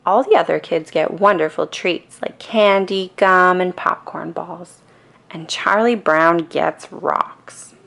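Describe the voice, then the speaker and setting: A woman reads a scripted bedtime story for children. Her voice sits on the low side with noticeable creak, and her storytelling is calm yet expressive. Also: slow pace. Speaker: storybook reader.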